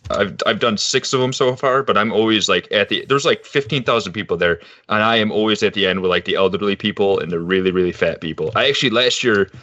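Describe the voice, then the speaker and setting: A man chatting casually and slangily, telling animated stories on a lo-fi recording. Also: on the low side, fast, few pauses, no room sound. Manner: slangy, animated storytelling with lots of "dude" and "man". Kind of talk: casual banter.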